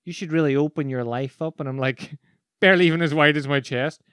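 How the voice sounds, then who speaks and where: Irish man with a smooth, dry voice. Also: a little high, brisk, dry recording. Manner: animated storyteller, laughs while talking. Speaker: podcast host and speaking coach. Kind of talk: casual conversation.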